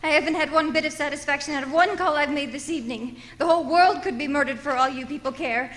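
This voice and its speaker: An actress playing a nervous, demanding, self-pitying bedridden wife in a radio thriller, with an imperious, complaining, overwrought delivery. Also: female voice, high-pitched, quick pace, a bit bright. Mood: indignant. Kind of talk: radio thriller play acting.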